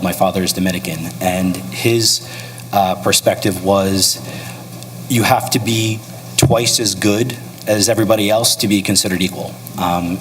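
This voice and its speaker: A man speaking as a panelist at a live event, measured and a little hesitant. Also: lowish voice, big-room sound, slight background noise, rough recording. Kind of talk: panel discussion.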